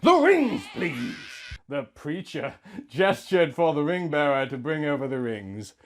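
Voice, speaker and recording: A man in his thirties reading a story aloud in a melodramatic, mock-sentimental comic style, swinging between hushed and loud. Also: fairly high pitch, a little slow. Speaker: comic host reading out fan fiction.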